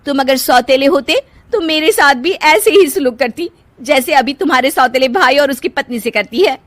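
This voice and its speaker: A woman's voice: high-pitched, animated, moderate pace, voice pushed up. A woman voicing a spoiled, scheming elder sister-in-law in a cartoon drama, haughty, whiny and demanding.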